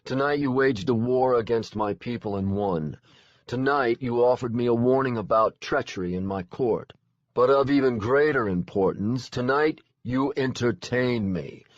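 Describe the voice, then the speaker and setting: A man's voice: medium pitch, measured pace, no echo, lo-fi sound, pitched above the performer's own voice, natural voice. A man voicing a huge, menacing fae lord who speaks in a bored drawl. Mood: grandiose.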